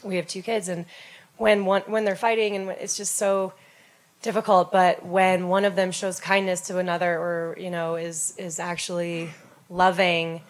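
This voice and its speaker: A woman's voice: lowish voice, level tone, normal speed. A mother in a church group, losing her voice, reflecting personally on her two children and on serving. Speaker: parent in congregation.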